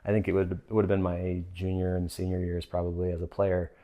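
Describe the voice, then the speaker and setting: A man's voice: sits low, completely flat delivery, fast talker, dark tone. A middle-aged man with an American accent, reflecting in a casual interview as a sports coach. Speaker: assistant soccer coach.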